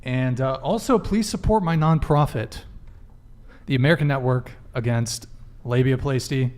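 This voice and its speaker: A man's voice: mid-range voice, moderate pace, a little mellow. A man hosting a podcast panel, running through announcements and questions with joking asides. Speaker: podcast host. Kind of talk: casual panel talk.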